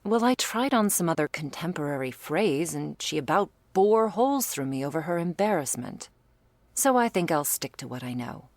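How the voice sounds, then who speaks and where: A woman's voice: low-pitched, big pitch swings, normal speed, no room sound. A woman playing a teasing, doting female vampire lover in an intimate ASMR roleplay, with whispery asides and quaint, affectionate wording. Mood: fond, wry. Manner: breathy asides, pet names, quaint turns of phrase.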